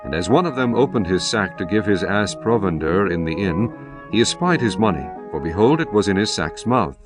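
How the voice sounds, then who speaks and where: A man narrating scripture in a measured, solemn style. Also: deep voice, expressive. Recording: music bed behind voice.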